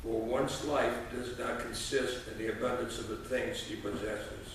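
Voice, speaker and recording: A man in his sixties speaking at a church lectern as a lay reader, in a folksy, halting way with frequent pauses. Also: mid-range voice, steady tone. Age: 60s. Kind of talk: informal church address and scripture reading. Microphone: distant camera microphone. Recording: echoey church, distant mic, noisy.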